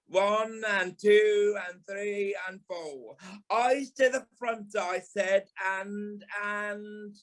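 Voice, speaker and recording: An actor playing a camp, sharp-tongued British dance teacher and former stage dancer in an audio play, theatrical and sarcastic. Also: male voice, high voice, extremely slow, exaggerated voice. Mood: mocking, bossy. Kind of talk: audio play monologue and dialogue.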